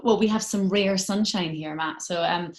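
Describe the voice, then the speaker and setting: A woman's voice: fairly low pitch, moderate pace. A British woman hosting a casual remote interview in a chatty, gushing manner. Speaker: radio interviewer. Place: remote video call in London.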